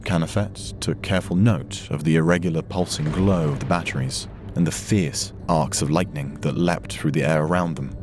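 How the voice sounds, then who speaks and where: A man narrating a dramatic science fiction story from a script in a measured, solemn way. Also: rumbling low, fairly even intonation, noisy background. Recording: music behind the narration.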